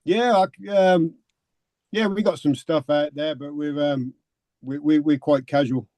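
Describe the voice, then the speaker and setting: A man's voice: fairly high pitch, fairly even intonation, measured pace, warm tone, no echo. A man who plays drums, talking in a laid-back, easygoing way. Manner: laid-back, laughing easily. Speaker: drummer. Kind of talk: casual interview.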